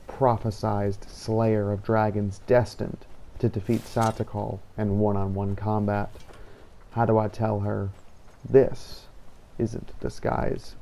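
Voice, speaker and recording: A man voicing a brooding, defiant fantasy hero, narrating his own game adventure in a deliberate, dramatic way. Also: on the low side, creaky, dark tone. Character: brooding male dragon-slaying hero, weary and defiant. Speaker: role-playing gamer narrating as his character. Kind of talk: first-person in-character scripted narration. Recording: faint background noise.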